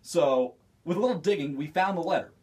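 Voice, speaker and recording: Male comedian for casual radio banter, fairly high voice with some husk. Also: a little fast, darkish tone, breathy edge, recorded from a distance. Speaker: comedian and impressionist, radio guest. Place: radio studio.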